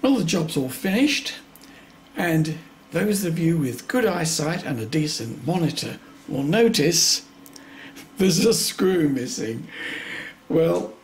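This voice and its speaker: A man with a creaky voice gives an informal, matter-of-fact repair demonstration, a little hesitant, with dry asides. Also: fairly high pitch, slight background noise. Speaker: hobbyist repairer presenting own video.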